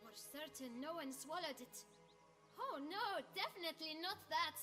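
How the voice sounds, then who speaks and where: A woman's voice: high-pitched, expressive, moderate pace. A woman voicing a jittery, lying little shepherd boy in a fantasy video game, frantic and evasive. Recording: reverberant, music behind.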